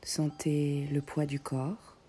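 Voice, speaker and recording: A woman guiding a meditation in soft, breathy short phrases with long pauses, often close to a whisper. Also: low-pitched, level tone, a little hiss or hum. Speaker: yoga nidra meditation guide. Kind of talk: guided meditation.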